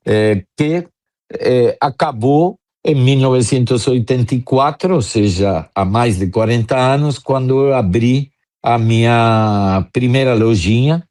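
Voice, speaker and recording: Slow low-voiced Argentine man. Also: mellow. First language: Spanish. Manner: slow, many hesitant fillers. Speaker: guest, drum importer. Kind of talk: interview, recounting career.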